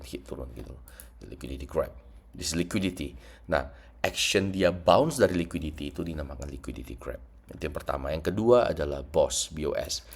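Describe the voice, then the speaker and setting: A man with a congested, stuffy nose, explaining trading charts in a casual screen-share tutorial and mixing English terms into his talk. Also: very deep voice. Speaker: trading teacher.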